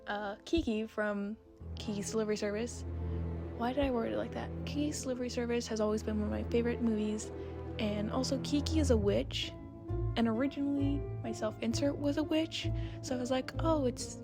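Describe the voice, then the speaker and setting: A woman's voice: on the high side, fairly quick. A young woman giving a casual, chatty voice-over in a rambling, self-deprecating way. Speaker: video creator and artist. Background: background music.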